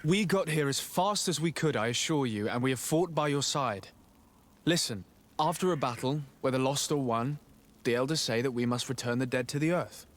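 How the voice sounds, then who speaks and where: An actor playing a reasonable, diplomatic young nobleman in a video game cutscene, speaking in a formal, measured and earnest way. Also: mid-range voice, natural voice. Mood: earnest, assuring.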